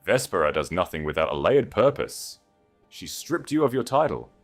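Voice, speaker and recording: A man's voice: deep voice, sing-song, normal speed, soft background music, heavily acted. A man voicing a grave, guarded exiled duke in an audiobook, speaking formally and grimly. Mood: probing, bitter. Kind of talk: audiobook character dialogue.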